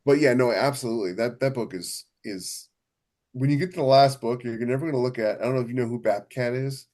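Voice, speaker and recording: A male podcast co-host with an average-pitched voice. He chats casually and enthusiastically, leaning hard on his points and circling back to repeat phrases. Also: quick pace, a little mellow. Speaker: podcast co-host and science fiction author. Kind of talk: casual chat.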